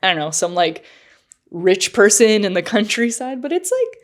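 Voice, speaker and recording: A woman's voice: mid-range voice, sing-song, moderate pace. A woman co-hosting a podcast in casual chat, slightly nasal and full of fillers. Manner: chatty, lots of fillers and likes. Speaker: podcast co-host.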